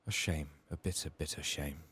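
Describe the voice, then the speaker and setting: A man quietly narrating a game playthrough in soft-spoken ASMR style, murmuring to himself and often dropping toward a whisper. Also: very deep voice, steady tone, normal speed. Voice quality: soft, hushed, breathy in whispers. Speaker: gaming streamer.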